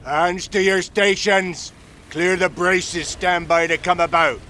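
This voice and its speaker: Hard-bitten pirate ship's master. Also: male voice, high voice, steady tone, slow pace, bright, forceful tone, slight background noise, exaggerated voice. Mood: shouting orders. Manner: salty archaic sailor speech. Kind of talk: full-cast audio drama acting.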